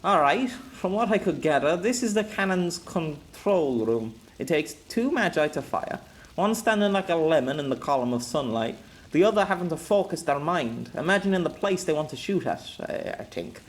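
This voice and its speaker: A man voicing a gruff male soldier commander who barks orders and makes sardonic quips. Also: fairly high pitch, animated, normal speed, pitched above the performer's own voice, lightly acted. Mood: wry.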